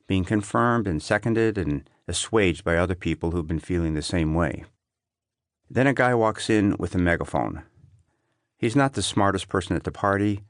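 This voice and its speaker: A man reading an essay as an audiobook narrator, in a measured, clearly phrased delivery. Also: sits low, dry recording, clean studio sound.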